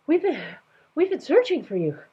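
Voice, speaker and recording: A woman's voice: high-pitched, very animated, moderate pace, mellow, dull audio, pitched above the performer's own voice. A woman voicing a flustered, deferential male royal counselor who stammers hesitantly and is anxious to please. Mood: flustered, breathless.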